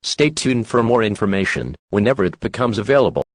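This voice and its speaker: A man's voice: on the low side, sing-song, fairly quick, no room sound, studio quality. A man reading a scripted emergency broadcast announcement in a formal, synthetic-sounding manner.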